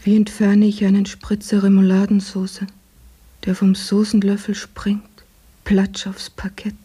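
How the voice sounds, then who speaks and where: An actress playing an embittered, hard-working housewife who was once a maid, in a breathy, husky voice for a radio play. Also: female voice, medium pitch, monotone, unhurried, mellow. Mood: hushed, anxious.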